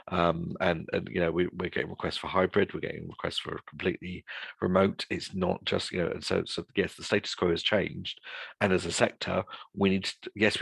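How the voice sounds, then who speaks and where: Male webinar guest, low creaky husky voice, halting unscripted delivery, close dry sound. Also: no echo. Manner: halting, frequently rephrasing mid-sentence. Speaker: guest speaker presenting a survey report.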